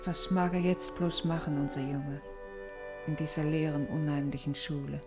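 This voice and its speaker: Worried mother, lowish voice, anxious and sorrowful, music behind her. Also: flat delivery, normal speed. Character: Martin's worried, homesick-hearted mother. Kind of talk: 1950s radio acting. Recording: old radio recording with music behind.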